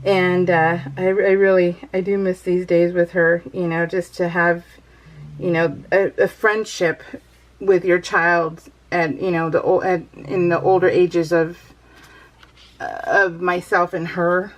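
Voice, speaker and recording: A woman's voice: on the low side, level tone, measured pace, poor audio. A middle-aged American woman chatting casually over her craft project as a vlogger, rambling and easily sidetracked, with a bubbly manner.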